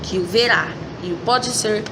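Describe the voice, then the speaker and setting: A woman playing a sharp, commanding male detective in an amateur school radio drama, laying out his deductions methodically. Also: mid-range voice, normal speed, close to an everyday voice. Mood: explaining. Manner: lecturing, methodical explanation. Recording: distant mic with loud noise.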